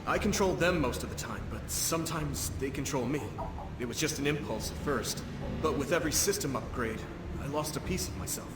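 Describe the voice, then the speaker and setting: A man's voice: medium pitch, a little fast, natural voice. A man playing a remorseful cyborg war veteran in a video game, speaking in an earnest, solemn way. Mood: sombre, reflective. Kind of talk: scripted video game voice acting. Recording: very noisy, echoing game hall sound.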